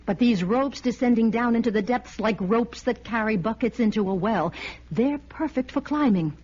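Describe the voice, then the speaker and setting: An actress playing a bold, commanding female warrior in a fantasy radio drama, brisk and decisive. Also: a little high, darkish tone, husky. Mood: wondering. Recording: dull radio recording, music behind.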